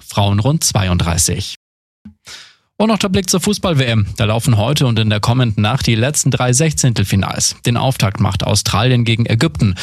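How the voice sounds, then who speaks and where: A man reading the regional radio news in a steady, measured way into a studio microphone. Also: mid-range voice, dry recording. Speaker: newsreader.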